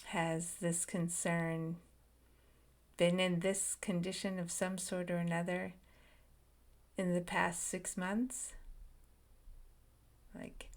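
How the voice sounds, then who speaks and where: A woman leading a guided meditation, speaking softly in short phrases with long pauses and frequent whispered words. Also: fairly low pitch. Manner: slow, soft and soothing, drifting into whispers.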